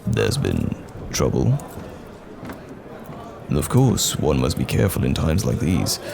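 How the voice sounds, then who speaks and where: Very deep, gravelly wandering knight played by a man. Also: huge pitch swings, background noise, exaggerated voice. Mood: guarded, wry. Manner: measured, courteous, old-fashioned phrasing. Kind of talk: fantasy audio roleplay acting. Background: music.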